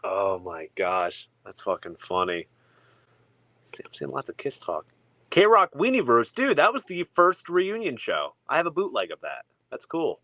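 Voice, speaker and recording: A man co-hosting a livestream in casual group chat, animated and laughing as he tells stories. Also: medium pitch, unhurried, no room sound. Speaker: co-host of a livestream.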